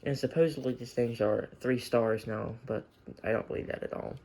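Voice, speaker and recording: Man, mid-to-low creaky voice, informal show-and-tell, offhand asides, noisy room recording with clicks. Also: steady tone, normal speed, a little mellow. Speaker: hobbyist showing a weather radio collection. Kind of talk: casual unscripted show-and-tell. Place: room at home.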